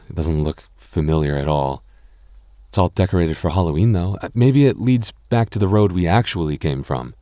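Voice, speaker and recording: A man's voice: low voice, darkish tone, dry recording, clean studio sound. A young man voicing a goofy, doting goth boyfriend in an ASMR roleplay, talking in a stammering, self-teasing way with frequent laughs. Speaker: voice actor.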